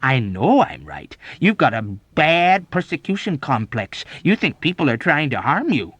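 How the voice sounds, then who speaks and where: A man's voice: medium pitch, expressive, slow, warm tone, no echo. A man voicing a belligerent, easily offended army major in an audiobook, indignant and accusatory. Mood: belligerent hectoring. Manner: indignant, accusatory, badgering, emphatic. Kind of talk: audiobook character acting.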